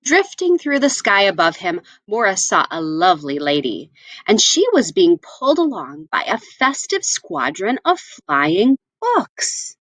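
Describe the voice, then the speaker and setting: A woman tells a children's story in an expressive read-aloud style. Her pitch moves freely and animatedly as she shapes each phrase with care. The sound is dry, with no room echo. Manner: expressive, with deliberate phrasing and asides to listeners.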